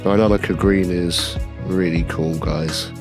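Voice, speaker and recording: A deep-voiced man talks slowly and easily through a vlog, a little nasal from a cold. Music plays clearly underneath. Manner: relaxed, enthusiastic product talk with fillers. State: has a cold. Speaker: optician showing a frame job. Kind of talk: casual workshop vlog narration.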